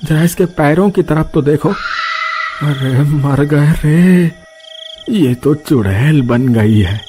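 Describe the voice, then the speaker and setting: A man's voice: fairly high pitch, takes it slowly, dark tone. A man voicing a panicky village husband in a cartoon, lamenting in a melodramatic, wailing way. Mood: panicked, wailing. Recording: music bed behind voice.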